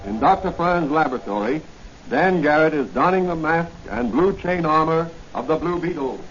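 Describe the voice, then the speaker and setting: A man's voice: on the high side, lively intonation, moderate pace, music in the background. A man narrating a 1940s radio serial as announcer, with a formal, dramatic, declamatory delivery. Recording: muffled, noisy 1940 radio recording.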